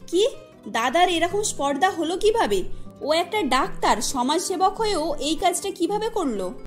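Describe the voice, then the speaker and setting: A woman plays a sharp-tongued, scheming young wife in a cartoon story. Her voice is high and insistent, with faint music underneath. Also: sing-song, normal speed. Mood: indignant concern.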